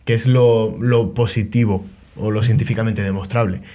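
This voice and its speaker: A man's voice: lowish voice, steady tone, moderate pace, mellow. A young man, co-hosting a casual conversation and explaining ideas at length with joking, self-deprecating asides. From Canary Islands. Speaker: podcast co-host.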